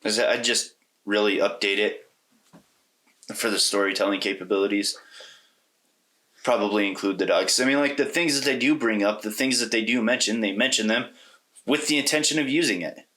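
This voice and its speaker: A man's voice: on the low side, normal speed, sharp tone. A man co-hosting a podcast, casually discussing a film and thinking aloud in a loose, rambling way. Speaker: podcast co-host.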